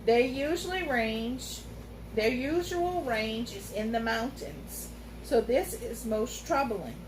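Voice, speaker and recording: A woman voicing an old, wise, fussy talking tomcat who speaks in a measured, lecturing way. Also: a little high, noisy background. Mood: grave. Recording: roomy room, faint ambience.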